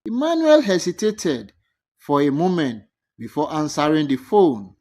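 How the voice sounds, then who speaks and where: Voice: medium pitch, very animated, unhurried, a little mellow. A narrator reading a scripted moral folktale as a storyteller.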